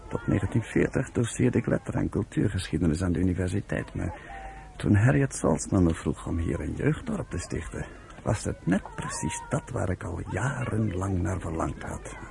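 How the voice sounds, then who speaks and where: Casting: genial elderly professor, radio drama, creaky and very animated, talkative, expansive. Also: male voice, sits low, normal speed, heavily acted. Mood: reflective, kindly. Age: older. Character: kindly, talkative older professor running a youth village.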